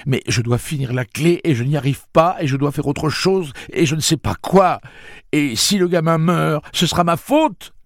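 Man doing a freaked out young guy, way higher than his own voice, loud and shrill, cursing. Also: lively intonation, a little slow, no room sound, studio quality, lightly acted. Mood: panicked. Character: panicky, volatile young man, quick-tempered.

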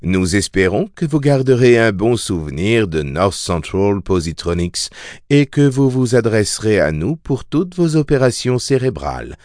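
A man's voice: on the low side, big pitch swings, unhurried, darkish tone, no echo, studio quality. A man voicing a polite female automated announcer in an audiobook, giving formal corporate patter. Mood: bland, courteous. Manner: formal, courteous announcement delivery.

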